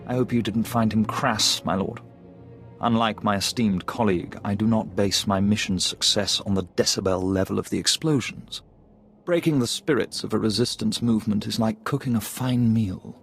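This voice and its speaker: A low-voiced, genteel scheming lieutenant. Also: male voice, moderate pace, vocal fry. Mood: smug, calculating. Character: refined, coldly calculating male Imperial lieutenant, smugly cruel. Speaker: military officer character. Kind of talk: video game voice acting. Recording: loud music behind the voice. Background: music.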